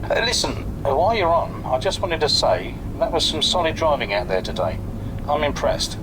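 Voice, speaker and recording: A man playing an encouraging, businesslike racing team boss in a video game, measured and approving. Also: a little high, very animated, noisy background. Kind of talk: naturalistic video game cutscene acting.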